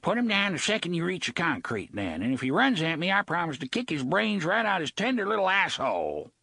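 Un hombre que hace de un viejo pirata lascivo. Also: male voice, sits high, huge pitch swings, brisk, gravelly, pushed and bright, breathy edge, no room sound, clean studio sound, voice pushed up, exaggerated voice. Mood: menacing, crooning. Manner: crooning, wheedling, with old-fashioned slang. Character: leering old male pirate, menacing and sly.

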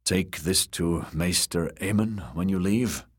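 Young commander for a storybook male reader acting: weary, stern, terse, very low and rough. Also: slow pace, full character voice. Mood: weary, commanding.

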